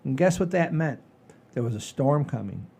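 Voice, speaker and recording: An older man with a husky, mellow voice hosts a casual podcast. He tells stories in a rambling, folksy way, animated and loose with his language. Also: medium pitch, normal speed. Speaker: podcast host. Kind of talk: casual chat and storytelling.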